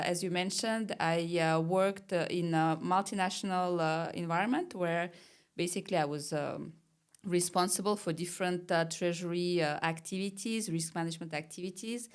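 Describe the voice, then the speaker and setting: A woman answering as a guest on a podcast, hesitant with many pauses and fillers. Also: on the low side, clear, resonant tone. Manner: hesitant, lots of fillers, laughs easily. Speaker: podcast guest, treasurer and payments consultant. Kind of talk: interview answers.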